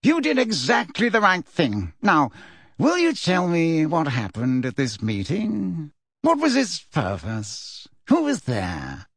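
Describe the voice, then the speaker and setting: A man's voice: high voice, very animated, moderate pace, sharp tone, no room sound, pitched above the performer's own voice, heavily acted. A man voicing a pompous, blustering middle-aged male politician with a bluff, hearty manner.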